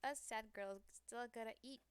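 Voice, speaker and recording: A woman's voice: fairly high pitch, a little slow, clear, resonant tone. A woman streamer reading a visual novel aloud, acting out the lines and adding her own asides. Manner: acts out lines with ad-libbed asides. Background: game music.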